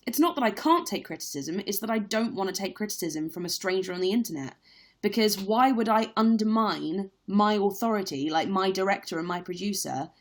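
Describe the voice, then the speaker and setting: A young woman, a stage actress, talks casually to her viewers in a live-stream Q&A. Her voice sits a little low, and she speaks candidly with plenty of ums and likes. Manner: chatty, candid, lots of fillers.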